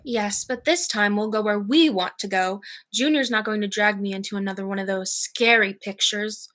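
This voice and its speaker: A teenage woman playing a mild, cheerful elderly aunt, genteel and serenely matter-of-fact, in a high school radio play. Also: normal speed, a bit bright, lightly acted. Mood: chatty, cheerful. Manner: genteel, placid.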